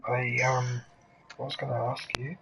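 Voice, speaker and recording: A man's voice: medium pitch, normal speed. A man in his fifties, chatting and rambling with friends while gaming, often trailing off mid-sentence. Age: 50s. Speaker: gaming channel host. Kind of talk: casual chat while gaming. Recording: steady background noise.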